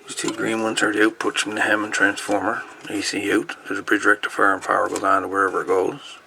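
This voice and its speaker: A man with a creaky, gravelly voice gives casual, halting hands-on repair commentary, muttering to himself. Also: fairly low pitch, flat delivery, bright, forceful tone, a little hiss or hum, rough recording. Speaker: host of a radio repair video.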